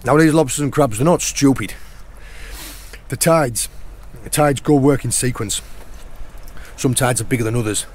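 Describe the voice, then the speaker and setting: A middle-aged man with a hoarse, croaky voice, chatting casually outdoors on a rocky shore as he guides viewers through foraging. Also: medium pitch, moderate pace, darkish tone. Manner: chatty, points things out as he goes. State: hoarse, croaky sore throat. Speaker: channel host and foraging guide.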